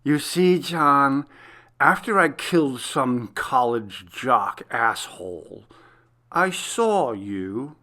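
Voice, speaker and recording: A man voicing a menacing, gloating serial killer in a horror story, taunting with slow, drawn-out mock affection. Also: mid-range voice, expressive, pushed and bright, voice pushed up, exaggerated voice. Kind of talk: dramatic horror story reading.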